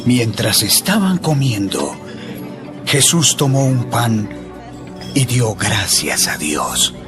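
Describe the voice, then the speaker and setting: A man narrating a dramatized Bible reading with expressive storytelling. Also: mid-range voice, slow pace. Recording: music bed throughout.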